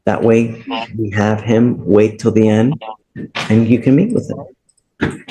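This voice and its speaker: An American man speaking as a judge in a courtroom discussion, plain-spoken and firm, often halting and repeating himself. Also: lowish voice, warm tone, low-quality recording.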